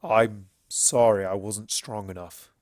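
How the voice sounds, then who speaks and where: A man voicing a troubled, bitter and weary stallion in a fantasy story, speaking in a halting, heavy, brooding way. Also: fairly low pitch, fairly even intonation, a little mellow, no echo, studio quality. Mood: pained, bitter. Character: troubled, guilt-ridden old stallion alicorn, bitter and weary.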